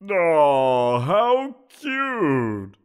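A man's voice: a little high, sing-song, drawn-out words, clear, resonant tone, heavily acted. A man voicing a giant wolf creature in an audio roleplay, teasing and amused, chuckling as he talks. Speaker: voice actor.

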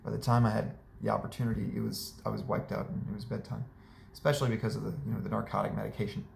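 A hoarse young man giving a casual, chatty update in a small living room, filmed by a camera a few meters away. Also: on the low side, rapid-fire, recorded from a distance, slight background noise. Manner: relaxed and chatty, with many fillers. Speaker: vlogger. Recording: echoey room, voice off-mic.

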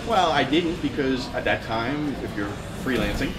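A man's voice: mid-range voice, animated, normal speed, very noisy. A man in his fifties giving a casual, self-deprecating talk at a meetup, laughing often as he tells stories. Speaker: guest speaker. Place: meetup room in a store, in front of a screen. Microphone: far camera. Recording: echoey room with loud ambience.

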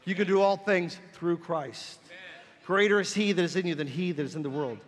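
A man preaching a sermon as a pastor, leading call-and-response and building to emphatic shouts. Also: high-pitched, moderate pace. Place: church sanctuary. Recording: long church reverb.

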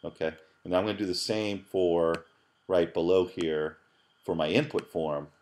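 A man's voice: sits low, steady tone, measured pace, darkish tone. A middle-aged man, a professor, narrating a screencast tutorial in a casual, thinking-aloud way with fillers and repeated words. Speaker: professor teaching app programming.

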